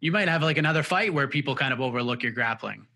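A man interviewing a fighter, asking long, relaxed questions with fillers. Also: mid-range voice, fast.

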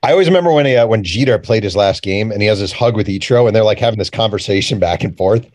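A man with a fairly low voice, recorded dry with no room around it. He talks like a writer being interviewed, candid and colloquial, leaning on repetition to make his point. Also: rapid-fire, darkish tone, no room sound. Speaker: author and journalist guest. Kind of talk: casual conversational interview.

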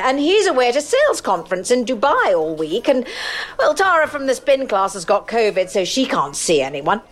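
A woman playing a prattling posh suburban lady. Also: fairly high pitch. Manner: prattling, oblivious, entitled. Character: self-absorbed posh suburban middle-aged woman. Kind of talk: comic character monologue.

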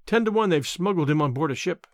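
A male narrator puts on a tall, gloomy detective for a mystery audiobook. He sounds matter-of-fact and glum, set lower than the narration. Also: animated, fast, no room sound, clean studio sound, lightly acted. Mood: decided.

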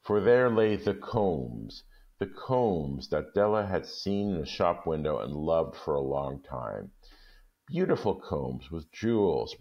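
A male story reader with a very low, creaky voice. He speaks expressively, with wide swings of pitch. The recording is slightly muffled. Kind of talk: expressive literary storytelling and talk.

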